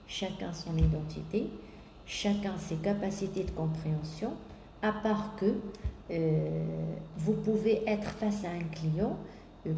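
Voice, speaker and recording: A woman business coach giving a sales coaching talk, pausing often on drawn-out fillers and listing points in repetition. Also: fairly low pitch, dark tone. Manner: hesitant, many drawn-out "euh" fillers, repetitive lists. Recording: echoey room with faint background noise.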